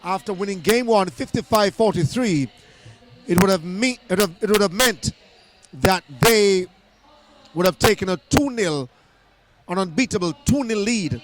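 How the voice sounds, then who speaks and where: A hoarse man doing live netball commentary in an indoor arena, excited and often restarting his phrases. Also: high voice.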